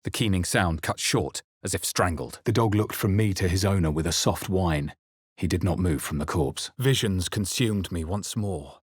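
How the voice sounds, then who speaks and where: A man playing a brooding adventurer who narrates a fantasy video game in the first person, solemn, deliberate and literary. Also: deep voice, slightly rough, no echo, studio quality. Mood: solemn, haunted. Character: brooding male adventurer narrating his own dark memories. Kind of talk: dramatic scripted fantasy narration.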